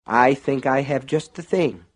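A man's voice: a little slow, slightly rough. Guy doing a creepy soothing devil shopkeeper for an audiobook, coaxing and persuasive, can go harsh. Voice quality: low, soothing, turning harsh. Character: sinister soothing male shopkeeper, a devilish tempter.

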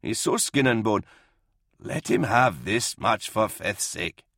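A man's voice: on the low side, animated, takes it slowly, creaky, a bit bright, no echo, studio quality, heavily acted. Man voicing a snappy, irascible old priest. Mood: snapping, pleading. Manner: snappy, outspoken. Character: blunt, irascible, compassionate old army priest. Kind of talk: audiobook character voice in military science fiction.

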